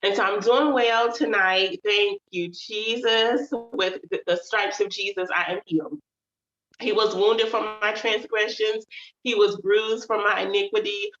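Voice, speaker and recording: A woman's voice: mid-range voice, unhurried, roomy sound, lo-fi sound. Chatty woman with a stuffy nose. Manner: chatty, animated, addresses audience as y'all. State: stuffy nose, a bit nasal. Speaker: author and channel host. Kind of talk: casual livestream chat.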